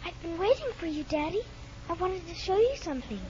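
A woman's voice: extremely high pitch, expressive, a little fast. A woman playing an earnest, trusting little girl, childlike and pleading, in a 1950s radio drama. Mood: eager, pleading. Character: earnest, trusting little girl who adores her daddy. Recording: muffled, noisy old radio recording.

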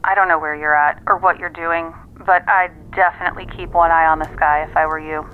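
A woman's voice: low voice, steady tone, a little fast. A woman acting in an audio drama as a sardonic, self-assured investigator relaying findings matter-of-factly over a phone line. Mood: cautioning. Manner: matter-of-fact, dryly wry. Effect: telephone filter. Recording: tinny phone line, music underneath.

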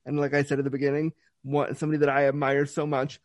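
A man hosting an interview, talking in an animated, chatty way with frequent restarts. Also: a little high, fast talker, dry recording, studio quality. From Canada. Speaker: interview host. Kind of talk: casual conversational interview.

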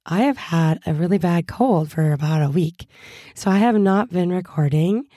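Hoarse woman host, cheerful and chatty, lower voice, dry close recording. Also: expressive, moderate pace, dark tone, no echo. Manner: chatty, upbeat, laughs often. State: hoarse from a cold. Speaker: podcast host. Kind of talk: casual solo podcast chat.

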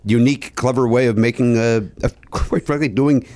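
A comedian in his fifties with a raspy voice, chatting casually and haltingly on a podcast, repeating himself with mock exasperation. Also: medium pitch, steady tone, darkish tone. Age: 50s.

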